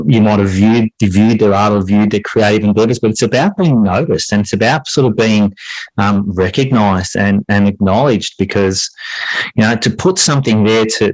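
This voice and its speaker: Low-voiced man, earnest and reflective. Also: no room sound, poor audio. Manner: earnest, hedging with repeated "sort of". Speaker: podcast guest, high school English teacher and writer. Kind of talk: reflective interview talk.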